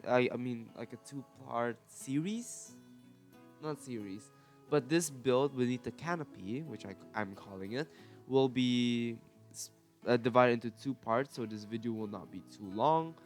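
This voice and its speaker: A man's voice: medium pitch, lively intonation, normal speed. A man with a stuffy nose from a cold, chatting casually and correcting himself as he talks through a game build for his viewers. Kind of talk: casual gameplay commentary. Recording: faint music behind voice.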